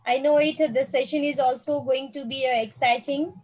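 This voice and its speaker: Nepali-born female principal, formal greeting, high pitch. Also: steady tone, normal speed, a little mellow, low-quality recording. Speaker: school principal.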